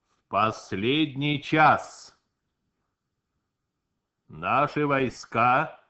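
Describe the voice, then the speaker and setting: A man's voice: on the high side, sharp tone, full character voice. A man delivering a solemn, declamatory 1940s Soviet radio bulletin as a wartime announcer.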